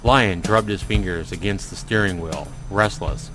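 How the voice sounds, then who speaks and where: A man's voice: low voice, measured pace, fairly bright tone, poor audio. A man narrating a story aloud in a dramatic storytelling style, as a host reading to viewers. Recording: music behind the voice. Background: music.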